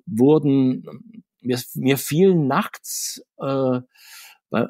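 A man's voice: mid-range voice, a little mellow, no echo, studio quality. A middle-aged man telling anecdotes as an interview guest, chatty and digressive, often restarting his sentences. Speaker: podcast guest, comics author.

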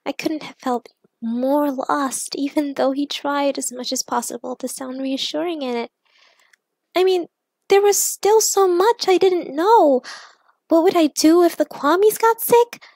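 A woman voicing a young superheroine in an audio story, playful but anxious, confiding in an expressive, earnest way. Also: high voice, unhurried, no room sound, voice pushed up. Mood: confiding, wistful.

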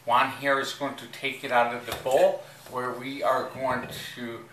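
A young man explaining a kitchen process on camera, slowly and carefully with pauses. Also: medium pitch, flat delivery, pushed and bright, a little hiss or hum. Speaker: dog treat team member. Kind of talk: on-camera demonstration. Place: kitchen, indoors. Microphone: far camera mic. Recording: echoey kitchen, distant mic.

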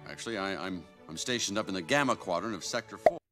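A self-serious space ranger toy, with a low voice and earnest delivery. He stumbles a little over his words, and faint music plays behind him. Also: expressive, fast talker, a bit bright.

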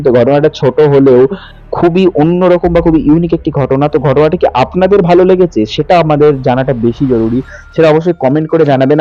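Muffled higher-voiced man telling horror stories. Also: mellow. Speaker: host and narrator of a ghost story channel. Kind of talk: scripted horror story narration. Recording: muffled lo-fi audio with music behind. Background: music bed.

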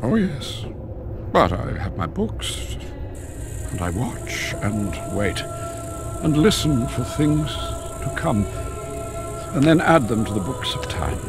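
Old wise dragon for a game, solemn storyteller, slow dramatic pauses, very swoopy delivery, big music under it. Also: male voice, mid-range voice, big pitch swings, creaky, exaggerated voice. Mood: wistful, welcoming. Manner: measured, theatrical, grave, with drawn-out pauses. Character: ancient, wise, mysterious dragon keeper of prophetic books. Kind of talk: video game cutscene acting, solemn storytelling. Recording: loud music behind the voice. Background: loud cinematic game music.

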